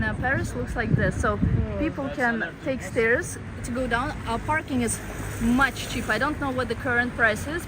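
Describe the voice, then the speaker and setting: A woman hosting a live-stream walking tour, chatting casually to her viewers while walking outdoors in a city. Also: a little high. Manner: chatty, reads out chat comments. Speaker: travel vlogger. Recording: street noise and traffic behind.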